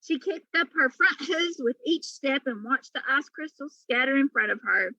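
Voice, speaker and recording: A woman's voice: high-pitched, expressive, moderate pace, bright, forceful tone, no room sound, muffled recording. A woman reading a children's story aloud as a teacher would for pupils. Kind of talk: storybook read-aloud narration.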